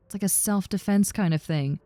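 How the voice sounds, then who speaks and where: A woman as a friendly lamia roommate, mellow and close. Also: mid-range voice, brisk, no room sound, close to an everyday voice. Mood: friendly, joking. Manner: casual, reassuring, teasing. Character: friendly, harmless giant snake-girl lamia roommate, young woman. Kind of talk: roleplay audio with personal attention, conversational acting.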